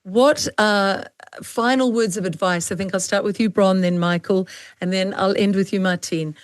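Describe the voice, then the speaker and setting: Female host, online panel chat. Medium pitch, dry sound, earnest and emphatic delivery. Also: fairly even intonation, normal speed, dry recording. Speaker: interview host. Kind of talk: conversational online panel interview.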